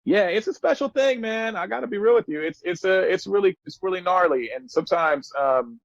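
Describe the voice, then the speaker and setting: A man's voice: high voice, animated, a little fast, vocal fry, no echo, dull audio. A man in his thirties telling stories casually as a musician guest on a podcast, in laid-back, slangy, earnest and reflective speech. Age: 30s.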